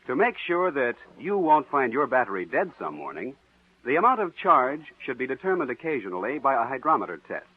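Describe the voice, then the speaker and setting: Vintage tinny radio, male announcer, lively swooping pitch, husky edge, emphatic scripted sales pitch. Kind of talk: 1940s radio sponsor advertisement, scripted. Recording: tinny, narrow-band vintage radio recording.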